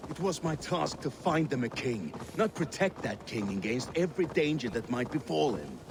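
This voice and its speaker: Animated, earnest warrior comrade. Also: male voice, on the high side, moderate pace, gravelly, noisy background. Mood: defensive. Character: earnest, hopeful male warrior comrade, wryly defensive.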